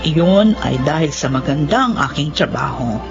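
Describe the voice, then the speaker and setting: A woman's voice: low-pitched, animated, moderate pace, gravelly, music in the background. An actress playing a stubborn, lovestruck middle-aged widowed mother in an emotive, insistent Filipino radio drama style. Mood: wistful. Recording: dull lo-fi radio drama sound.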